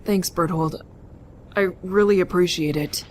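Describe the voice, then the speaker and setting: A woman's voice: lowish voice, measured pace, slight background noise, close to an everyday voice. A woman voicing a timid, anxious, polite teenage boy in an audio drama, hesitant and apologetic.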